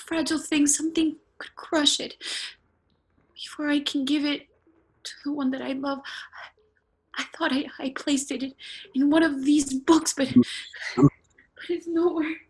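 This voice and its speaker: A woman acts out a frail, delirious young woman in a stage drama. Her high voice moves slowly through broken, mournful phrases, as if haunted by grief. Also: fairly even intonation, low-quality recording. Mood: fragile, haunted. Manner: slow, fragmented, mournful delivery. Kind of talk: dramatic virtual play reading.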